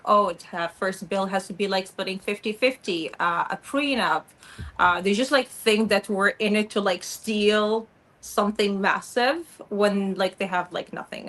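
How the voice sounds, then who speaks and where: A woman's voice: medium pitch, a bit bright. A woman giving frank opinions as a panel guest over a video call, using many fillers. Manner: frank, filler-heavy, lots of "like". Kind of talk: casual opinionated chat.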